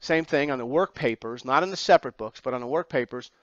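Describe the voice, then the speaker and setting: A man's voice: medium pitch, quick pace, dry recording, dull audio. A man teaching an accounting exam class, lecturing through slides step by step with emphatic repetition and rhetorical questions.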